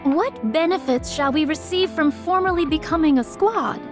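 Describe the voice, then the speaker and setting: A woman's voice: sits high, moderate pace, over music, natural voice. A woman playing a stern, vigilant young swordswoman in a video game, speaking in a formal, archaic manner. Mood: formal, reserved. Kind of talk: voiced game story dialogue.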